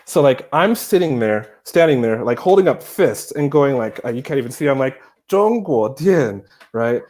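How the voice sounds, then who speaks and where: Animated man telling a story on a call. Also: mid-range voice, moderate pace. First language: not Chinese. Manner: lively, self-mocking, acts out scenes. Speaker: Chinese teacher, guest. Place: small room indoors. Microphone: earphone mic on video call.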